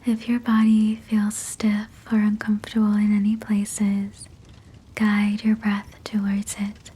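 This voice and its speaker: A woman guiding a sleep meditation in a breathy whisper, soothing and gentle, with measured phrasing. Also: medium pitch, completely flat delivery, rough recording. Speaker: sleep hypnosis guide.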